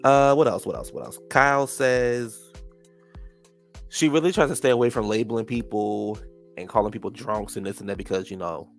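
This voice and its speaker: A man with a low, gravelly voice talks through a reality TV recap in a sassy, gossipy way. His nose is stuffed up. Faint music plays behind him. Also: normal speed. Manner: sassy and chatty, calls people "child" and "honey". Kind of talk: casual recap commentary.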